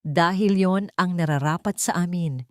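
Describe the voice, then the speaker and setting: A woman's voice: on the low side, moderate pace, no echo, studio quality, natural voice. A woman voicing a bitter, haughty female schemer in an audio story, speaking with defiant scorn.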